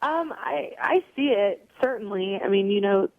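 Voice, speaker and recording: A woman's voice: mid-range voice, lively intonation, normal speed, no echo, phone-quality sound. A young woman singer answering interview questions in a chatty way, with lots of ums and you knows. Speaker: country singer, interview guest.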